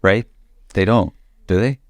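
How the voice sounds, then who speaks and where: A man with a very low voice hosts a casual podcast conversation. He asks probing questions and muses aloud with examples. The sound is close and dry. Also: a little slow, no room sound. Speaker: podcast host.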